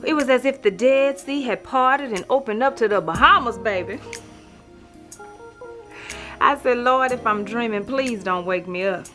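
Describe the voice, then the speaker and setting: A woman's voice: on the high side, a little slow, long pauses, voice pushed up. Sassy, love-struck woman monologuing over music, acted by a woman. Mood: smitten, sassy. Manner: sassy, expressive, conversational storytelling with exclamations. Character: dramatic, wry, love-struck woman telling her life story. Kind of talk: theatrical stage monologue. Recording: music playing behind the voice.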